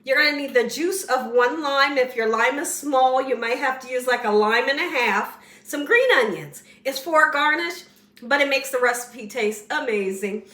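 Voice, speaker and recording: Home cook host, woman, high bright voice, slightly hoarse, chatty, echoey kitchen with the mic set back. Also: normal speed, distant mic. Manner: chatty, encouraging, talks straight to viewers. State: slightly hoarse, losing her voice. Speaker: cooking show host. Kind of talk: casual home cooking tutorial.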